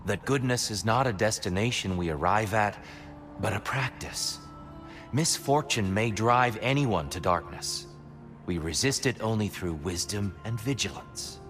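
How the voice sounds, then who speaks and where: An actor playing a calm, wise male god mentoring a warrior in a video game, measured and counseling. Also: fairly low pitch, soft background music.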